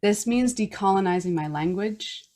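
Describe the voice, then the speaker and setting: A woman from Newfoundland hosting a wellness podcast, reading a reflective personal statement in a slow, earnest way. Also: medium pitch, expressive, warm tone. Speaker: podcast host. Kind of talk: reading a personal land acknowledgment and intro.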